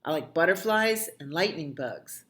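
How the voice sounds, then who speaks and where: A woman's voice: sits low, expressive, normal speed, fairly bright tone. A woman voicing an eager, playful young child in a picture book, emphatic and contrary, drawing out favourite words. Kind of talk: picture-book dialogue read aloud.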